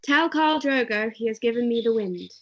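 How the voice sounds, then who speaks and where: A woman's voice: fairly high pitch, animated, normal speed. A woman reading the part of an anxious, earnest young princess bride in an amateur table read. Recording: dull lo-fi audio.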